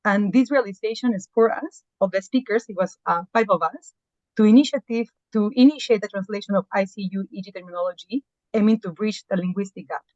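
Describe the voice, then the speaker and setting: Woman doctor, earnest and halting, on a dull, dry line. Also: mid-range voice, a little mellow, no room sound. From Peru. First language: Spanish. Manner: earnest, with fillers and non-native phrasing. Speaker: physician guest. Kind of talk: podcast interview.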